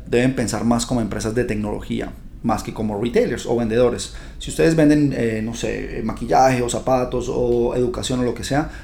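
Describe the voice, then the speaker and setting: Male podcast host, gravelly and warm in tone, chatty and upbeat, speaks straight to listeners. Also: medium pitch, slight background noise. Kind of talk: casual podcast monologue.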